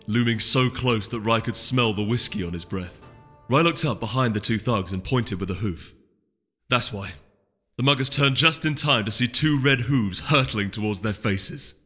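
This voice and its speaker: Casting: male audiobook reader, bright expressive storytelling, music bed. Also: mid-range voice. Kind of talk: scripted story narration. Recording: echoey hall reverb, music behind.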